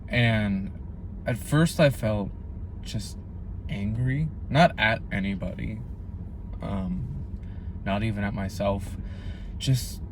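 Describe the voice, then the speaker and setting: Young man, low voice, hushed and halting delivery, grieving and numb, hiss in the background. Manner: quiet, halting, reflective, with long pauses. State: numb with grief. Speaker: vlogger. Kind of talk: personal video diary.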